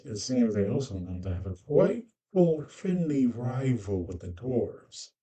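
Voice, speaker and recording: A man narrating an informal fantasy creature guide in halting, loosely phrased explanations. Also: fairly low pitch, warm tone, far from the mic, poor audio.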